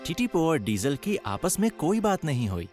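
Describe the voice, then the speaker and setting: A man playing the gentle storybook narrator of a Hindi children's cartoon, with expressive scripted storytelling. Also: a little high, normal speed, loud music behind the voice.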